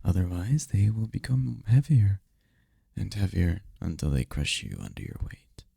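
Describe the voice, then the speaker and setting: A man playing an affectionate, teasing incubus boyfriend in an intimate, softly spoken roleplay ASMR, husky and breathy. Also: sing-song, dry recording, clean studio sound, exaggerated voice. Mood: gentle, coaxing. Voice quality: gravelly, breathy, husky low voice.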